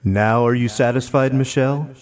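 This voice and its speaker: A man voicing a calm, friendly, towering alien woman with measured, formal delivery. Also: mid-range voice, close to an everyday voice. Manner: measured, formal story reading. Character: calm, friendly nine-foot alien woman, reassuring and wise.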